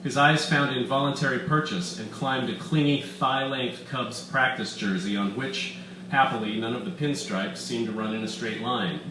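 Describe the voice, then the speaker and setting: A man reading his own story aloud with deliberate, dramatic emphasis at a live literary reading in an echoey bar room. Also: mid-range voice. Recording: echoey room, distant mic, faint background noise.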